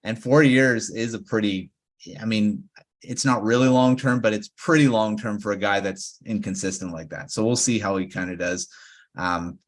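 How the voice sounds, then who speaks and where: A man hosting a casual podcast roundtable, relaxed and quick to chuckle. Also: on the low side. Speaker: podcast host.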